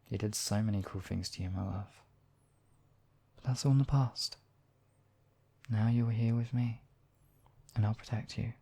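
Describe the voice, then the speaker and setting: A man performs a demon king devoted to his beloved, with a soft, dark timbre full of gravelly creak. He speaks intimately and courteously, with a playful, teasing edge, in the manner of a romantic ASMR audio roleplay. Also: mid-range voice, lightly acted. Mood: tender, protective.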